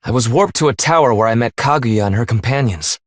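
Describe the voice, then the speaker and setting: A man's voice: medium pitch, a little fast, no echo, clean studio sound, lightly acted. A man voicing a stern, blunt young half-human leader in a video game, speaking in a clipped, formal, commanding way. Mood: reserved, curt. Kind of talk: English video game voice acting.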